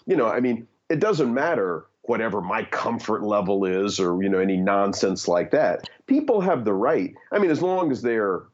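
A man's voice: fairly low pitch, animated, normal speed, hardly any pauses, lo-fi sound. A man in his fifties giving candid opinions in conversation, earnest, with many fillers and restarts. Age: 50s. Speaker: guest.

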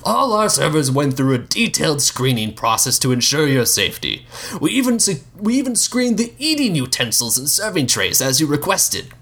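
A man's voice: on the high side, big pitch swings, moderate pace, fairly bright tone, voice pushed up. A man voicing a formal, reassuring male official addressing a banquet.

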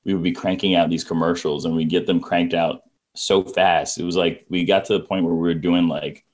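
A man in his twenties, a guest on a podcast, talking in a relaxed, reflective way with many "like"s. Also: deep voice, a little fast. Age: 20s. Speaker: guest, visual effects artist. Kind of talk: casual interview chat.

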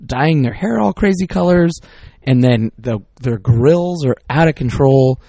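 A man's voice: medium pitch, animated, measured pace, vocal fry, warm tone, no room sound, studio quality. A middle-aged man chatting casually as a podcast co-host, rambling and exasperated, often cutting himself off mid-word. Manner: rambling, exasperated, self-interrupting. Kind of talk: casual chat.

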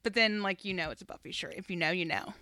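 Bright, chatty woman podcast host. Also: lowish voice, quick pace, dry recording, clean studio sound. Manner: informal, chatty, emphatic, peppered with slang. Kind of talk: casual chat.

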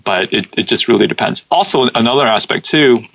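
A man's voice: medium pitch, lively intonation, brisk, fairly bright tone, slight background noise, poor audio. A man who grew up speaking Cantonese, a podcast guest chatting casually, laughing often and repeating words as he starts sentences. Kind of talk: casual interview chat.

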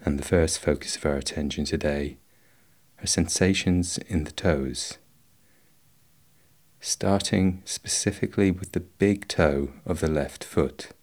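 A man guiding a meditation in a slow, measured, instructional way, leaving long pauses between phrases. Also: a bass voice, a little mellow. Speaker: meditation guide. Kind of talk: guided body scan meditation.

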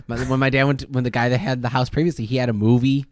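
A man's voice: medium pitch, steady tone, very fast, no room sound, clean studio sound. A man co-hosting a podcast in casual, slangy chat, often repeating himself. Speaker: podcast co-host. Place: small podcast studio.

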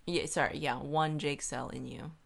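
A woman's voice: low voice, steady tone, normal speed, creaky. A woman co-hosting a podcast in a casual, science-minded discussion, reasoning aloud with questions and checking for agreement. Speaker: podcast co-host.

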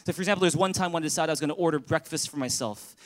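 A man's voice: a little high, rapid-fire. A man from Canada, a pastor, preaching an earnest, conversational sermon in a church and calling on the congregation to answer. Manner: earnest, conversational preaching with audience call-and-response. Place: church sanctuary.

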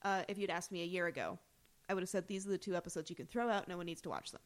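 A woman's voice: fairly low pitch, rapid-fire. A woman co-hosting a podcast, casually discussing a television show in conversation. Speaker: podcast co-host.

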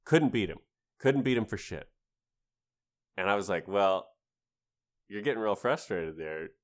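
A man with a deep, low voice chats as a podcast co-host. He spins casual stories about gaming and slips into playful, grandiose asides, swearing freely. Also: expressive, a little slow.

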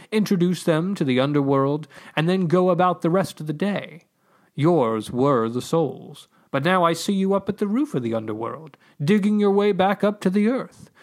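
A man voicing a loud, bewildered godlike being in a storybook, speaking with polite, ponderous formality and resonance. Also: a little high, pitched above the performer's own voice, lightly acted.

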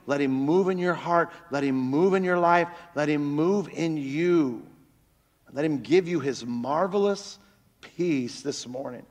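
A pastor preaches to his church on a microphone in a voice that is very loud and set a little high. He moves between loud, pleading cries and quiet, near-whispered lines. The sound carries a long echo from the hall around him. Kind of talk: sermon.

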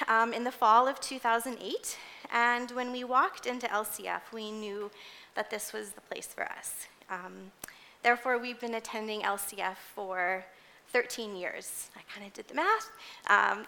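Bright, higher-voiced woman giving an informal sermon. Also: moderate pace, gravelly. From grew up in Indonesia as a missionary kid, later moved to Canada. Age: 40s. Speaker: church music ministry leader giving a sermon. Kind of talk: personal, informal sermon to a congregation.

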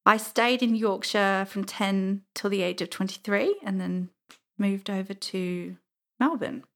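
A woman's voice: mid-range voice, a little slow, gravelly. A woman in her thirties with an English accent, chatting casually and telling stories as an interview guest. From England. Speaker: podcast guest.

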